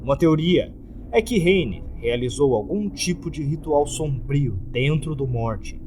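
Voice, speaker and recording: A Brazilian man voicing a gloomy, courteous hotel keeper in a formal, measured, scripted horror narration. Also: medium pitch, darkish tone, slight background noise. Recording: music under the voice.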